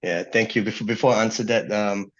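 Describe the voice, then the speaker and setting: Low-voiced man with rambling restarts, lo-fi. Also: steady tone, fairly quick. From Singapore. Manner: rambling with many restarts and fillers. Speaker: guest. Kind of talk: casual interview talk.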